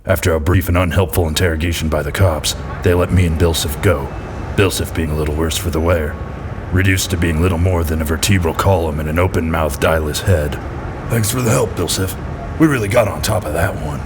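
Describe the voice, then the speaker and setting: Man acting as a low-voiced deadpan noir detective. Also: a little fast, background noise, close to an everyday voice. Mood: sardonic, weary. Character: jaded pill-popping hard-boiled male detective narrating his case. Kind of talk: noir-parody audio drama narration. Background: background music.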